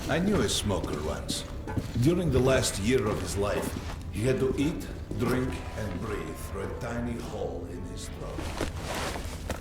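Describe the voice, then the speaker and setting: An actor playing a calm, philosophical older wanderer in a video game, speaking in a measured, brooding storytelling cadence. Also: male voice, fairly low pitch. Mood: somber. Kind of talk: dramatic video game dialogue. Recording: loud music behind the voice.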